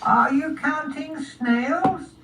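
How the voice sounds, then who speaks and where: A woman's voice: sits high, pitched above the performer's own voice, exaggerated voice. A woman voicing a polite, proud little snail in a children's story, drawing out the words courteously. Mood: polite, deliberate. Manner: drawn-out, courteous. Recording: roomy, distant, faintly noisy recording.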